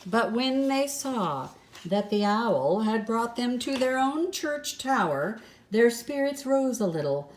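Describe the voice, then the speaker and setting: Slow-reading older woman, grandmotherly storyteller. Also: fairly high pitch, sing-song, a little hiss or hum, rough recording. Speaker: grandmother reading a picture book aloud. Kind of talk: storybook read-aloud with soft asides.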